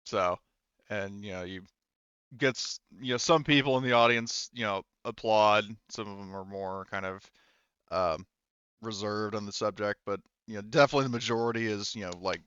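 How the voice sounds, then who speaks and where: A man speaking as game master, casually narrating a tabletop roleplaying game in a halting, improvised way. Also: lowish voice, a bit bright, clean tone, no room sound, dull audio. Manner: halting, informal, loosely improvised delivery.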